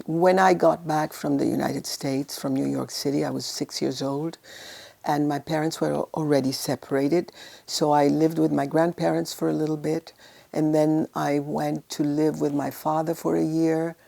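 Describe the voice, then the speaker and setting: Low gravelly older woman. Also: warm tone. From Italy. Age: 80s. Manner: rambling storyteller who laughs often. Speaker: actress interviewee. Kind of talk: casual life-story interview.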